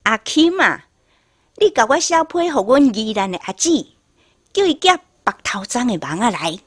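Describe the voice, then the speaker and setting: A woman playing a chatty, excitable villager in a radio drama, with a Taiwanese accent. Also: a little high, normal speed, breathy edge, faint music behind. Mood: instructing.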